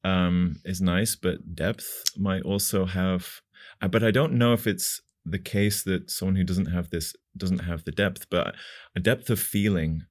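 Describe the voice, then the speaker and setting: A man's voice: low voice, fairly even intonation, a little slow. A man in reflective conversation, thoughtful and tentative, feeling his way toward the right words.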